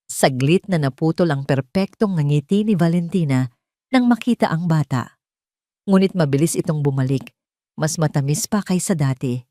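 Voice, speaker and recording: A woman's voice: low-pitched, animated, moderate pace, mellow, no room sound, studio quality. A woman with a Filipino accent narrating a scripted dramatic story.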